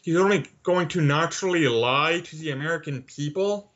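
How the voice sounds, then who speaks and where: A man's voice: on the high side, normal speed, fairly bright tone. A man from Canada speaking as an interview guest in an assertive, opinionated, conversational way. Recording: slightly dull lo-fi audio.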